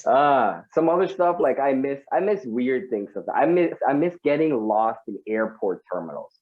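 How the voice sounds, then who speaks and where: A man's voice: mid-range voice, lively intonation, normal speed, phone-quality sound. A man from Guyana doing a stand-up comedy set over a video call, chatty and full of asides to the audience.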